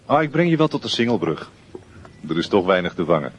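Low-voiced gossiping schoolboy. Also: male voice, big pitch swings, fast talker, highs cut off, a little hiss or hum. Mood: chatty. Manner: casual, swearing, teasing slang. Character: chatty, gossiping teenage schoolboy friend. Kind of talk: Dutch radio play acting.

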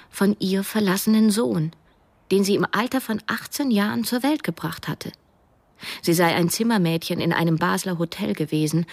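A woman's voice: medium pitch, vocal fry, breathy edge. An actress playing a young woman who narrates a radio drama, probing and direct with a wry edge. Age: young adult. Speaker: first-person narrator.

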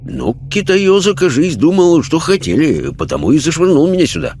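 A male narrator takes on a rough dead sailor in a storybook. He is cheeky and coarse, talking in loose slang with a lively, swinging pitch over faint noise. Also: normal speed. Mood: irreverent. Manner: coarse, colloquial, irreverent.

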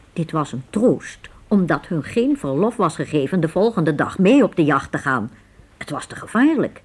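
A woman's voice: on the low side, a little mellow, slightly rough. A woman narrating a Dutch radio play in scripted, dramatic storytelling style.

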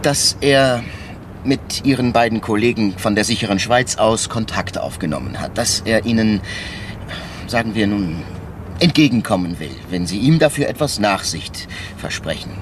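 A man's voice: on the low side, expressive, moderate pace, background noise, close to an everyday voice. A male actor playing a shrewd, persistent police inspector in a radio crime drama, insinuating and mock-deferential as he argues step by step. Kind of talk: naturalistic German radio crime drama acting.

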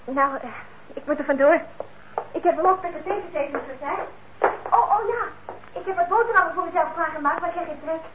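A dull old radio drama recording with faint noise features a teenage sister. Her voice is high and girlish, and she chatters with an eager, teasing, caring manner. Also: a little fast, fairly bright tone. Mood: caring, brisk. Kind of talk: naturalistic radio play acting in Dutch.

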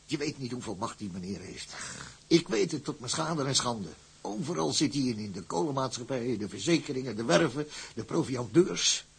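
A man playing a cautious, regretful tugboat company owner in a period drama, with a gravelly, husky voice and a weighty, resigned manner. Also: mid-range voice, expressive, normal speed, full character voice. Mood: defeated, warning.

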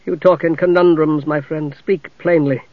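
A man doing a tired, quiet half-elf commander. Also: on the high side, steady tone, normal speed, no room sound, lightly acted. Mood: weary. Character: weary half-elven male commander, exhausted. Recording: dull, dry recording.

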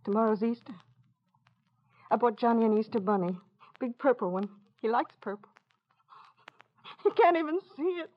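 Casting: woman to play proud, anxious mother, higher voice, earnest radio-play style, dull vintage sound. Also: moderate pace, pauses often, no room sound, lightly acted. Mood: despairing. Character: proud, anxious working mother of a sick child. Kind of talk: old-time radio drama acting. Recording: muffled old radio recording.

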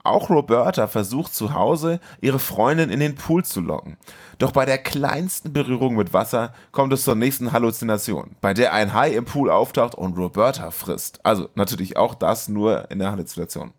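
A man's voice: medium pitch, animated, normal speed. A man hosting a podcast, reading a scripted comic film recap in a wry, mocking manner. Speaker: podcast host.

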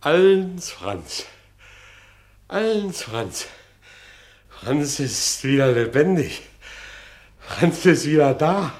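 Deep-voiced rough Berlin ex-convict. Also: male voice, measured pace, pauses often. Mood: exultant. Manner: coarse Berlin vernacular, swinging from brooding to exultant. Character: rough, brawny ex-convict labourer, volatile and self-pitying. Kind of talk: German radio play acting, monologue and dialogue.

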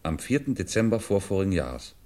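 A shrewd police commissioner in a 1950s radio drama. His voice is low and moves widely in pitch. He explains patiently and deliberately, leaning on key words with theatrical emphasis. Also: very animated, mellow. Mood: explaining, assured.

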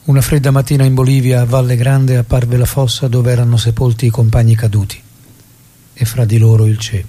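Solemn male storyteller, played by an actor: dark, husky tone, measured theatrical delivery over music. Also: medium pitch, flat delivery, natural voice. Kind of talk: dramatic scripted stage narration. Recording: music behind the voice.

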